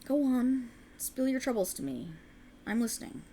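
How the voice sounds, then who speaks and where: Woman performing an ancient faerie enchantress for ASMR roleplay: creaky voice, courtly old-world phrasing, soothing, possessive, ambient noise underneath. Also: a little high, sing-song, normal speed, mellow, voice pushed up. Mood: gentle, sympathetic. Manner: soothing, formal archaic phrasing, coaxing. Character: ancient alluring female faerie, tender yet sinisterly possessive.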